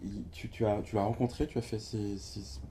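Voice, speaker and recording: A man's voice: on the low side, flat delivery, moderate pace, mellow, slight background noise. A man hosting a book launch at a bookshop, interviewing the author and searching for his words with many hesitations. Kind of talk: interviewing a guest at a live event. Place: bookshop event before an audience.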